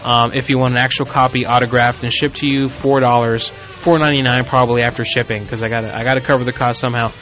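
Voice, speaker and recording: Rambling Puerto Rican radio host. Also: male voice, mid-range voice, little pitch movement, quick pace, hardly any pauses, fairly bright tone, faint music behind. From Puerto Rico. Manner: rambling, self-correcting, joking. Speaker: internet radio show host. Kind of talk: casual talk radio chat. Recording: dull lo-fi stream audio.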